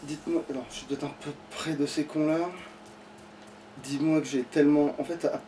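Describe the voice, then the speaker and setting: A man's voice: fairly high pitch, flat delivery, a little fast, mellow. A man doing casual, rambling gameplay commentary, swearing and sounding exasperated. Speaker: let's play gamer. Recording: distant mic with light background noise.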